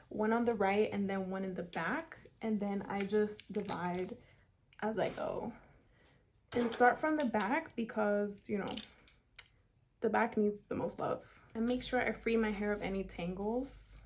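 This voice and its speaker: A woman in her twenties from South Florida, chatting casually through a hair tutorial with many "like" fillers. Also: medium pitch. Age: 20s. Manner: chatty, upbeat, lots of "like" and "kinda". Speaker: beauty vlogger.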